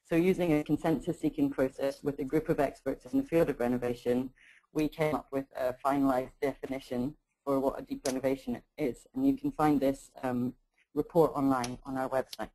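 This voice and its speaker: Female webinar host, measured, reads her intro. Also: very deep voice, mellow, rough recording.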